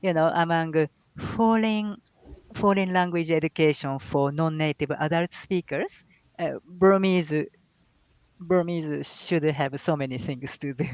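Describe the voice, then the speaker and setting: A man's voice: on the high side, measured pace, clean tone, no room sound, rough recording. Hesitant man explaining over a dry call. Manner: hesitant, many fillers and restarts, emphatic on key words. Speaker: guest language scholar. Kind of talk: live online talk with Q&A. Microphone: video call.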